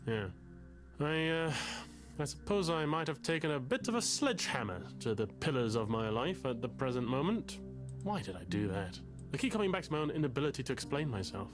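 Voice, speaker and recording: An actor playing an anxious, bookish, self-pitying man in a game, talking in a wordy, halting, confessional way. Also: mid-range voice, big pitch swings, music in the background, natural voice. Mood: anguished, self-pitying. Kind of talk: confessional dramatic game dialogue.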